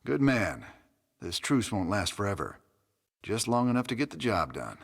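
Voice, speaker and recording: A man playing a wry, determined rebel space commander in a video game, with a husky drawl. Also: lowish voice, very animated, moderate pace, full character voice. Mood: wry, resolute. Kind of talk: video game cutscene acting. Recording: reverberant room with music underneath.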